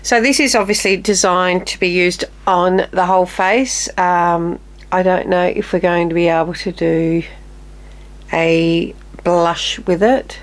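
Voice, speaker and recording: A woman in her fifties, still a little nasal, chatting casually through a makeup tutorial with quiet murmured asides. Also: lowish voice, fairly even intonation, unhurried. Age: 50s. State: slightly nasal, recovering from illness. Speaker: beauty vlogger.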